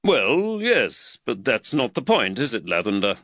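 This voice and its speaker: A man reading an audiobook voices an earnest, fretful London magistrate, speaking higher, louder and more emphatically than in his narration. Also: wildly swooping pitch, a little slow, no echo, full character voice. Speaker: magistrate and employer.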